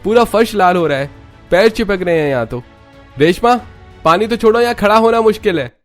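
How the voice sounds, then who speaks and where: An irritable young husband in a Hindi cartoon. His voice is notably high for a man and has a loud, scolding edge, with music underneath. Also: animated, brisk. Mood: angry. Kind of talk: Hindi cartoon story voice acting.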